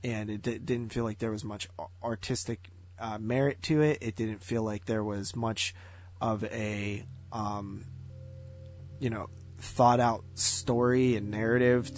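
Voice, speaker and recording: A man's voice: on the low side, steady tone, unhurried, faint music behind. A man co-hosting a podcast, talking through a movie review in a rambling, informal way. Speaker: podcast co-host. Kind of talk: casual movie review chat.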